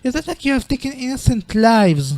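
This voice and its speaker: A protective goat-monster mother from a comic dub, played by a man. The voice is pitched high, with polite, measured phrasing and a stern edge under the gentleness. It is recorded dry. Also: sing-song, no room sound, heavily acted. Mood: stern, hurt.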